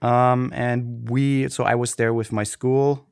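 A man's voice: mid-range voice, little pitch movement, takes it slowly, gravelly, a little mellow, clear, resonant tone, no room sound, clean studio sound. A man from Austria with an Austrian German accent, co-hosting a casual podcast chat and often restarting as he searches for words. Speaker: podcast co-host.

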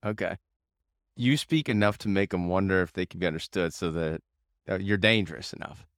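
An upbeat man with a fairly low, clean voice hosts a casual interview podcast. He sounds informal and enthusiastic, and the recording is close and dry. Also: fairly quick, fairly bright tone, dry recording. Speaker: podcast host.

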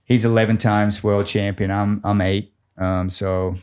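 A man playing a boastful, crude real estate agent of about forty with an American accent, deadpan and halting in an improvised mock interview. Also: on the low side, smooth, natural voice. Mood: boastful, relaxed. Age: around 40. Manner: cocky, deadpan, swearing, halting.

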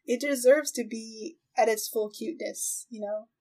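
A woman with a fairly high voice talks as the host of a casual podcast. She thinks aloud, often qualifying what she says. The delivery is conversational and tentative. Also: steady tone, normal speed, mellow. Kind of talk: casual chat about anime.

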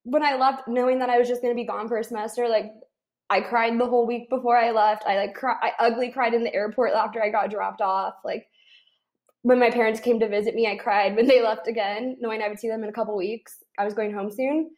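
A woman's voice: a little high, steady tone, fairly quick, darkish tone. A woman in her twenties talking candidly in casual chat, with many "like" fillers. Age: 20s.

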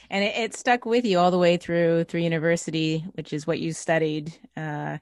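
A woman's voice: fairly low pitch, fairly even intonation, moderate pace, darkish tone, no room sound, studio quality. A woman hosting a podcast, asking questions in a conversational interview style.

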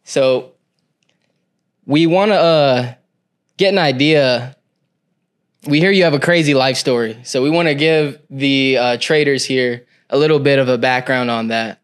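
A man hosts a podcast interview with a smooth, clear voice at an ordinary pitch. He opens loosely, with a few uhs along the way. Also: a little slow. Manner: friendly, loose hosting with fillers. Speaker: podcast host.

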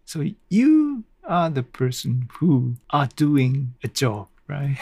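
A man speaking as a language hosts a casual solo podcast at a slow, halting pace. His voice is husky with noticeable creak, and the sound is close and dry. He rambles unscripted, with small self-deprecating chuckles. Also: fairly high pitch, darkish tone, no echo.

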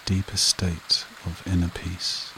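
A man leading a guided meditation and hypnosis in a soothing, repetitive manner with short phrases and long pauses. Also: sits low, a little hiss or hum, rough recording. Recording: rain and running water behind voice. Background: steady rain and stream sounds.

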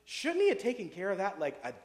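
A pastor preaching a casual sermon, chatty and jokey, full of asides and questions to the congregation. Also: male voice, sits high, wildly swooping pitch, fast. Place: church sanctuary. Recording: echoey hall with long reverb.

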